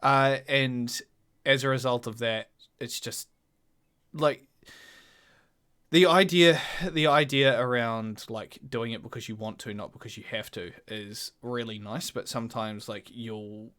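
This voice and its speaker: A young man, co-hosting a podcast in animated, sweary casual chat. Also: mid-range voice, unhurried. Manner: animated, swears, mock voices. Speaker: podcast co-host.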